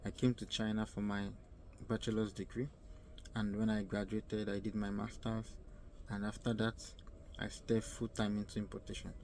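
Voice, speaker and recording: A young Nigerian man with a low, mellow voice talks through casual advice, earnest and repetitive, often stopping to hunt for the right words. His pitch stays flat and even, with a faint hum of ambient noise behind him. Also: normal speed, poor audio. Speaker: vlogger.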